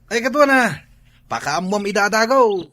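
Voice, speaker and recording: A man's voice: high voice, expressive, normal speed, pushed and bright. A man with an Ilocano accent playing a carefree, stubborn younger brother in a comedy drama, boastful and fond of drinking. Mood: cheerful boasting. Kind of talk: Ilocano comedy radio-style drama acting.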